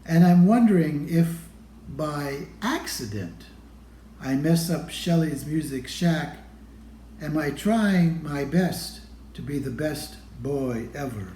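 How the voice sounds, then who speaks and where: Male storybook reader for children: unhurried, soft-edged tone, slightly high pitch, expressive delivery, roomy sound. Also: slight background noise. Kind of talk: expressive children's picture book read-aloud.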